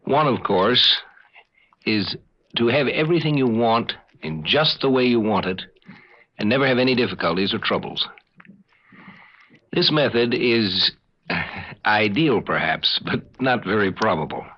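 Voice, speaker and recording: A man hosting a 1950s radio show, giving a conversational introduction with deliberate, hesitant pauses. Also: fairly low pitch, lively intonation. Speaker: host. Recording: muffled 1950s radio recording.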